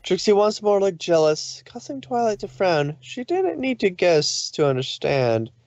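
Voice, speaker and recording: A man's voice: high voice, sing-song, unhurried, darkish tone, no echo. Dull-sounding male story narrator, dry.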